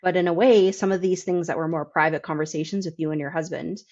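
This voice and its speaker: A woman's voice: on the low side, fairly even intonation, fast talker, darkish tone, muffled recording. A woman hosting a reflective interview, thinking aloud in a conversational way and repeating phrases as she forms her thought. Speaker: podcast host, interviewer.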